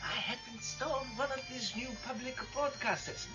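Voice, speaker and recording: A man's voice: very high-pitched, moderate pace. An actor playing a lonely, friendly old man in a 1970s radio drama, effusive and hesitant. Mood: eager, friendly. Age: older. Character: lonely, eager, friendly old male neighbour. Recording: dull radio recording, music behind.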